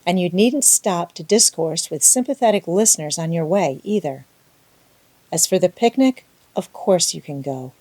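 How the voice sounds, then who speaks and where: A woman's voice: lowish voice, expressive, normal speed, mellow, no room sound. Woman playing a stern, brusque middle-aged guardian. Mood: scolding. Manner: brusque, scolding, no-nonsense. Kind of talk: audiobook character voice.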